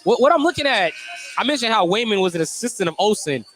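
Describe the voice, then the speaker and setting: A man's voice: high-pitched, sing-song, fast talker, a bit bright, faint music behind. A man doing live play-by-play basketball commentary on radio, calling each play in clipped, descriptive phrases. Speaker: play-by-play announcer. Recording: noisy live sports broadcast.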